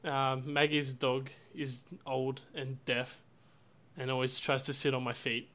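Brief: jokey male podcast co-host, booming voice, bright and forceful tone. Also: level tone, a little slow. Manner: laughs a lot, jokey. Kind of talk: casual chat.

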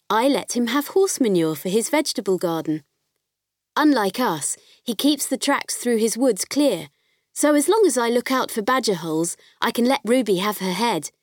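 Audiobook role: spirited farm girl played by a woman, candid diary storytelling, mid pitch, very dry recording. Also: dry recording, natural voice. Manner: expressive, conversational storytelling. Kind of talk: audiobook first-person diary narration.

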